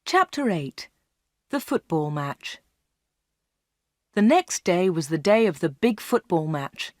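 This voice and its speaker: Brief: woman narrator, slow and clear for learners, dry close studio mic. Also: lowish voice, very animated, no echo. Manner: clear and measured. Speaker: audiobook narrator. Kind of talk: slow read-along storytelling for learners.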